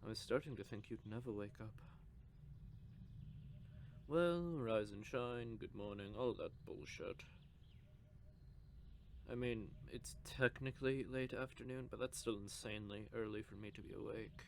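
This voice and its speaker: A man's voice: lowish voice, a little slow, vocal fry, pauses often, a little hiss or hum. A man voicing a teasing, possessive male vampire in an audio roleplay, with a smug, drawling manner. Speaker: voice actor.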